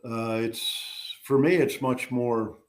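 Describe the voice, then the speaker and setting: An older man who is a guest on a chat show, telling stories in a halting way with many pauses and fillers. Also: medium pitch, fairly even intonation. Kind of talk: casual chat.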